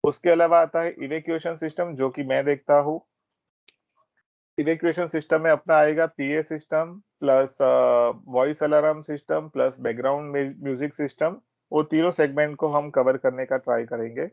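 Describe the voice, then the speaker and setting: An Indian man walks through a training presentation in an explanatory, informal way, switching between Hindi and English. His voice sits a little high with a gravelly fry in it. The recording is dry and somewhat dull. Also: steady tone, normal speed, dry recording. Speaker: technical product trainer at a systems company. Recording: dull, band-limited close audio.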